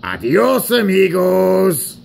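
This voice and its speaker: A man's voice: high-pitched, sing-song, slow pace, vocal fry, a little hiss or hum, voice pushed up, heavily acted. A man voicing a breezy male railway engine with a Mexican accent in a storybook parody.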